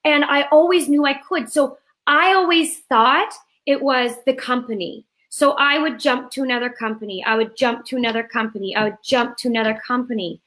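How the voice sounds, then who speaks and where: Cheerful thirties woman from Canada on a video call, high bright voice, slightly hoarse. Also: moderate pace. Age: 30s. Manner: energetic, cheerful storytelling. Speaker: guest. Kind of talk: live video call interview.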